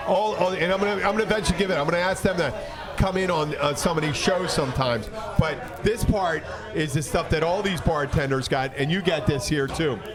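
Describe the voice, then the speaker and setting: Guy with a high voice, bartender hosting a chatty show, swears a lot, rambles, busy bar behind him. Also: brisk, crowd noise. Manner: rambling, animated, profane, acts out conversations. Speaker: podcast host and bartender. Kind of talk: casual bar banter and storytelling.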